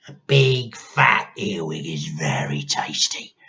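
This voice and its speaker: A man voicing a nasty, gleefully menacing old grandmother in a storybook, with drawn-out, gloating delivery. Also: extremely low pitch, very animated, vocal fry, sharp tone, dull audio, pitched below the performer's own voice, exaggerated voice. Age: older. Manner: drawn-out, gloating, sometimes throaty whispering.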